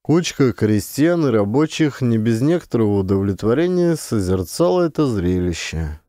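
Mellow male audiobook narrator, dry sound. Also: mid-range voice, sing-song, normal speed, dry recording. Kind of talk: scripted literary narration of a memoir.

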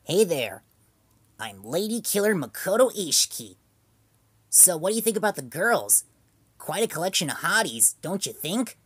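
A man's voice: high-pitched, sing-song, moderate pace, a bit bright, husky, no echo, heavily acted. A man voicing a cocky teenage boy who brags about being a ladies' man. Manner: boastful, brash.

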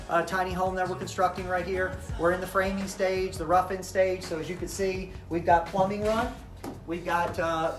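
A man in his thirties, a tiny house builder, casually explaining his work to the camera from inside an unfinished house. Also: high-pitched. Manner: relaxed, informal, frequent um and you know. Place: framed interior of an unfinished tiny house, indoors. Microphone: camera mic at a few meters. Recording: roomy echo, faint music.

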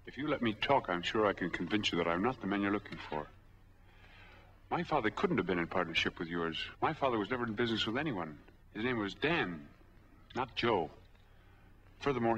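An American actor playing a cornered, earnest middle-aged drifter in a 1940s film noir, reasoning and pleading. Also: male voice, on the low side, fairly bright tone. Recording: dull 1940s film soundtrack.

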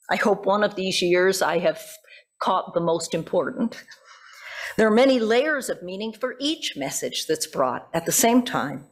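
A middle-aged American woman, a pastor, giving a reflective, conversational sermon at a church pulpit microphone. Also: medium pitch. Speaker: pastor preaching. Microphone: gooseneck pulpit microphone.

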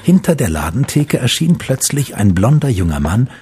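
A man giving calm, measured scripted narration for a radio play. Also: mid-range voice, soft background music.

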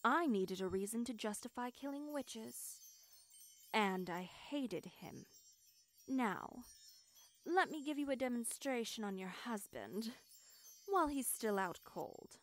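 Woman as evil witch queen, highish voice, unhurried, haughty, gloating, menacing, fantasy audio roleplay. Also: animated.

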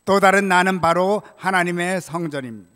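High-pitched older male preacher in reverberant hall. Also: normal speed, pushed and bright, clean tone. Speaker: pastor. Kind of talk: sermon, read from prepared text. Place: church sanctuary, at the pulpit. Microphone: gooseneck pulpit microphone. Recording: reverberant church hall sound.

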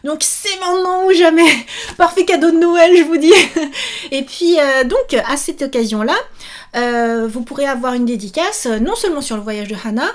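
High-voiced, enthusiastic woman vlogger. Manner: animated, exclamatory, enthusiastic. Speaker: author and YouTuber promoting her book. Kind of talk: casual chat to camera. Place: small indoor room set up for filming.

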